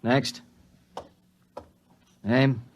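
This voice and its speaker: An actor playing an indifferent, bureaucratic processing clerk with a clipped manner in a 1950s radio drama. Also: male voice, mid-range voice, flat delivery, extremely slow, slight background noise. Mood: officious. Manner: clipped, officious.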